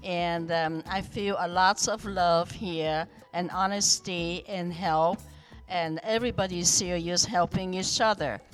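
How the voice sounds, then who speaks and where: Woman in her 70s, native Vietnamese speaker, slow halting speech, faint music behind. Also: lowish voice, steady tone. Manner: hesitant, many fillers, non-native grammar. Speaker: podcast guest. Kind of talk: casual interview chat.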